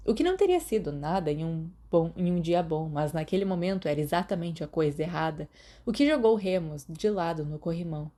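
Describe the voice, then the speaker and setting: A woman with a Brazilian accent, calmly reading a fan fiction audiobook aloud. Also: on the low side. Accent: Brazilian Portuguese. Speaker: podfic reader.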